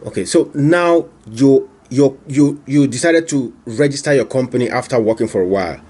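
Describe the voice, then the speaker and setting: A man's voice: medium pitch, normal speed, dark tone, breathy edge. A man interviewing a business owner, asking questions and often repeating them. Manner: probing, repeats his questions. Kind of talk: on-camera interview.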